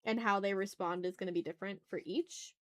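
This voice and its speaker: A woman's voice: medium pitch, fairly even intonation, a little fast. A woman chatting casually as an art livestream host, informal and thinking aloud as she draws. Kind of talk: casual livestream chat while drawing.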